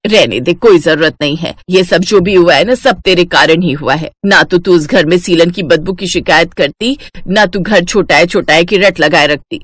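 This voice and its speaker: महिला की आवाज़ में कराहती, चिड़चिड़ी बूढ़ी सास. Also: female voice, on the low side, normal speed, hardly any pauses, slightly rough, dry recording, clean studio sound. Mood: angry, blaming. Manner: wailing, scolding, complaining. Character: older mother-in-law, cranky, wailing and blaming. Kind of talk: cartoon moral story acting.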